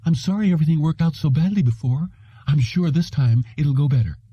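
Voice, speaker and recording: Man putting on a high fluttery receptionist woman. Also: animated, fairly quick, mellow, slightly rough. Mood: fluttery, apologetic. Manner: fluttering, agitated. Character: breathless, flustered young female receptionist. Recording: roomy, dull lo-fi audio.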